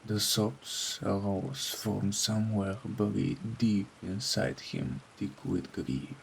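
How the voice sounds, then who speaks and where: Low male voice, gravelly creak, solemn horror narration, dramatic deliberate phrasing, faint rain behind. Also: slight background noise, rough recording. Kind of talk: scripted horror story narration.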